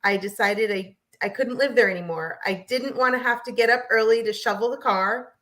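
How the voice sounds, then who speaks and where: An American woman from New Hampshire telling her story as an interview guest in an animated, chatty way. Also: fairly high pitch, fairly quick. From southern New Hampshire, United States. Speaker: guest, realtor.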